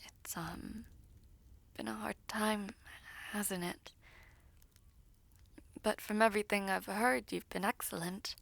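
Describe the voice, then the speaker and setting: A woman playing a guilt-ridden, weary young villainess sorceress in an intimate audio roleplay, speaking haltingly with breathy, half-whispered softness. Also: medium pitch, fairly even intonation, no room sound, full character voice. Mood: gentle, earnest.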